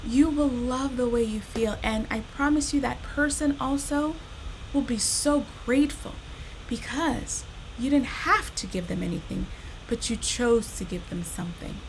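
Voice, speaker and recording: A woman hosting a children's storytime, reading aloud and speaking directly to young listeners in an animated, expressive, encouraging way. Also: on the high side, measured pace, a little hiss or hum, rough recording.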